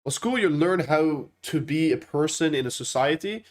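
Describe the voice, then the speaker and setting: A man's voice: fairly high pitch, lively intonation, normal speed. A young man from the Netherlands with a Dutch accent, hosting a casual crypto livestream and rambling through viewer questions with many fillers.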